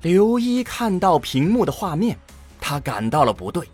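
A man's voice: sits high, sing-song, normal speed, darkish tone, husky, soft background music. A man narrating a scripted science fiction audio drama in a dramatic storytelling manner.

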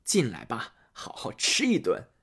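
A man voicing a scheming old king in a storybook tale, speaking low and hushed. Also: wildly swooping pitch, a little slow, vocal fry, slightly rough, full character voice. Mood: sly.

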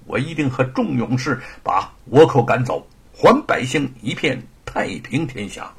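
A man's voice: medium pitch, sing-song, unhurried, creaky, slightly rough, full character voice. A man voicing a confident, patriotic scholar-official rallying defenders in a historical story, earnest, formal and stirring. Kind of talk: dramatised Chinese historical storytelling.

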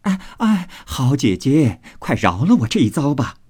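A man voicing a brisk, bossy, teasing young lady of the house in a storybook, bantering and full of exclamations. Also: medium pitch, animated, darkish tone, slightly rough. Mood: pleading.

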